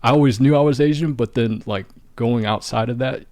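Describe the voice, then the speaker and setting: A man's voice: mid-range voice, fairly quick, darkish tone, no room sound, clean studio sound. A young man from St. Louis hosting a podcast, chatting in a laid-back, rambling way with lots of "like". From St. Louis, Midwest US. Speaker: podcast host. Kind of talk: casual conversation.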